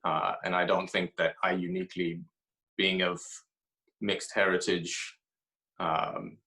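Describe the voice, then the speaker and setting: Male author in interview, low pitch with a crisp bright edge, reflective, halting delivery with many fillers. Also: flat delivery. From grew up in Haiti and Ethiopia. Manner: reflective, pauses often with fillers and restarts. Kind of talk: thoughtful interview answers.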